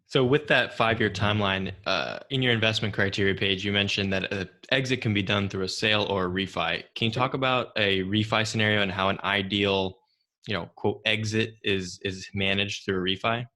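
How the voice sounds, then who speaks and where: A man hosting an interview, putting measured questions to his guest. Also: fairly low pitch, fairly even intonation, bright, forceful tone. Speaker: interviewer, podcast host.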